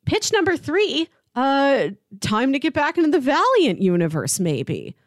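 A woman's voice: high-pitched, very animated, a little slow, dry recording, clean studio sound. A woman co-hosting a podcast, talking casually and reading out short blurbs. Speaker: podcast co-host.

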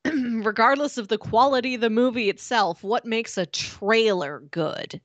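A woman co-hosting a podcast in casual chat, explaining things in a measured way. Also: a little high, no room sound. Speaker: podcast co-host.

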